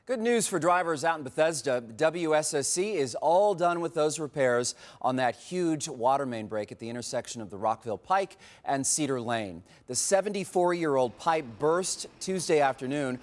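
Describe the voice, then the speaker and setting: A man's voice: a little high, moderate pace, few pauses. An American man anchoring a TV newscast, moving between reading copy and conversational banter with a co-anchor. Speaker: TV news anchor.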